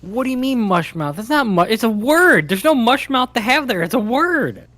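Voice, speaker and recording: A man's voice: extremely high pitch, sing-song, normal speed, bright, forceful tone, no echo. A man on a raid's voice chat, bantering in mock indignation with the streamer. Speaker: raid member on voice chat. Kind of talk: casual gaming voice chat.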